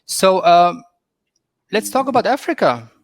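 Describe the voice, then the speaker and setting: A man hosting an online fireside chat, casual and halting, asking open questions. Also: high-pitched, big pitch swings, no room sound, clean studio sound. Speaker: host.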